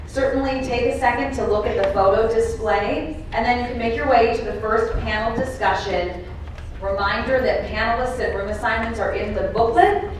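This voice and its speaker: A woman's voice: medium pitch, slight background noise. Upbeat woman emcee in an echoey hall. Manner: upbeat, thankful, direct. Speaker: event emcee. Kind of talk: public speaking at a conference. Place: large conference room. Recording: echoey hall, voice heard from far off.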